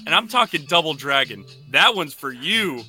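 A man with a fairly high, piercingly bright voice banters casually on a podcast. He jokes and needles his co-hosts. Music sits faintly in the background. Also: sing-song, normal speed. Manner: jokey, teasing. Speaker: podcast co-host.

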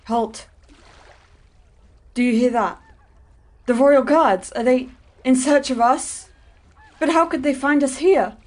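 A woman's voice: high-pitched, slow, pauses often. A woman playing Edwin, a devout, visionary young man, speaking in earnest, old-fashioned dialogue for a drama. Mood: alarmed.

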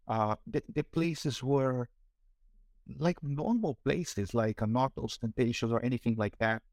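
A Brazilian-accented man in his forties, talking through an interview. He is hesitant and full of fillers and restarts, at a middling pitch. The sound is dry and close. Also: a little slow, a little mellow, no room sound. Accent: Brazilian Portuguese. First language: Portuguese. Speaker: guest, marketing executive. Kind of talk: casual interview.

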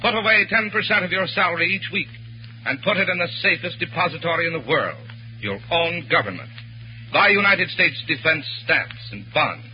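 High-pitched 1940s male radio announcer. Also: lively intonation, moderate pace, a little hiss or hum. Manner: formal, declamatory. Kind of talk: 1940s radio announcing, scripted patriotic appeal. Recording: muffled 1940s radio recording with music.

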